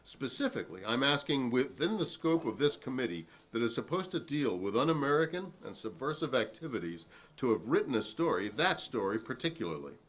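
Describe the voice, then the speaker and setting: Defiant witness, animated and emphatic man acting. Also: mid-range voice. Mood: challenging. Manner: emphatic, formal, deliberate repetition. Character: defiant, principled male witness at a 1950s congressional hearing. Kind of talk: dramatic reading of a hearing transcript.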